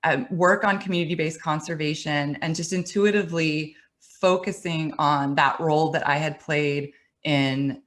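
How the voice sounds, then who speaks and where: A woman's voice: low voice, fairly even intonation, normal speed, fairly bright tone. A middle-aged woman giving a webinar talk, conversational and storytelling, with some restarts and light laughs. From United States. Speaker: webinar speaker. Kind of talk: presentation talk. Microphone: webinar computer mic.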